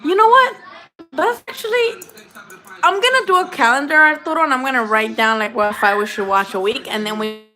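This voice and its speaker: A woman's voice: high voice, brisk, pushed and bright, soft background music. A young woman from Mexico, chatting casually and swearing freely while hosting a boxing livestream. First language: Spanish.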